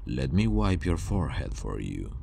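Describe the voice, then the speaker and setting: A man playing a calm, self-assured superhuman villain scientist who disdains humanity, speaking in a hushed, grandiose, deliberate way in an ASMR roleplay. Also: very deep voice, vocal fry, noisy background, full character voice. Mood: calm, clinical. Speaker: ASMR voice actor.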